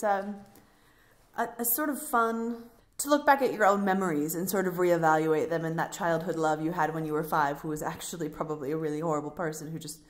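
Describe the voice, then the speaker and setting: A woman hosting a podcast, chatting casually and introducing pieces. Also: lowish voice. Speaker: podcast host. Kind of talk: casual introductions and chat about short plays.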